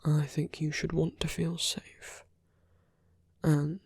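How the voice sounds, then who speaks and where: A man playing a soft-hearted villain wooing his heroic enemy in an intimate ASMR roleplay, speaking slowly and deliberately in a breathy hush that often slips into whispers. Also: fairly high pitch, completely flat delivery, dry recording, studio quality, heavily acted. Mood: caring. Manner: slow, deliberate, hushed, often slipping into whispers. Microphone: close, dry microphone.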